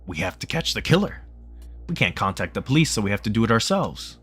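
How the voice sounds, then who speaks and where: A forceful, suspicious man in a visual novel mystery, acted out by a male reader. He is insistent and argumentative, with quiet music behind him. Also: lowish voice, big pitch swings, brisk, husky, heavily acted. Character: forceful, suspicious man pushing to confront a killer.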